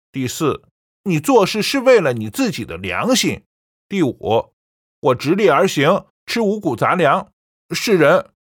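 A woman's voice: lowish voice, dry recording, clean studio sound. A woman doing a cold, defiant young son. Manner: cold, scornful, lecturing.

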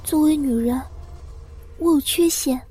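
A woman's voice: sits high, unhurried, dark tone, roomy sound, soft background music. A woman playing a timid, haunted young bride, hesitant and introspective, narrating an audio drama. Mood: apologetic, hesitant. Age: young adult. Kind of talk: Mandarin audio drama acting with first-person narration.

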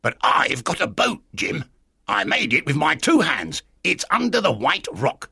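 A man voicing a half-crazy marooned old sailor in a storybook, with a strange, excitable, eager and wheedling way of talking. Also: fairly high pitch, gravelly, slightly rough, no echo, studio quality, pitched above the performer's own voice, heavily acted. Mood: eager, pleading. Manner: strange-voiced, excitable, drawn-out emphatic phrases. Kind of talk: dramatised storybook reading.

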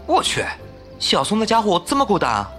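A bright, animated young man's voice from an actor, highish and over music. He is a hot-headed gamer buddy in an audio drama, blunt and exclamatory. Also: a little fast, exaggerated voice. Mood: indignant. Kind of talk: modern audio drama acting. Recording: music behind the voice.

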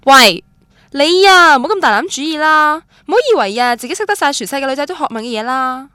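A woman's voice: sits high, lively intonation, fast, pushed and bright, no echo, clean studio sound. An actress with a Cantonese accent playing a romantic, stubborn young woman who tells her love story in a radio drama. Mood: cocky. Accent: Cantonese, Guangdong. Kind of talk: radio drama narration and dialogue, introspective first-person.

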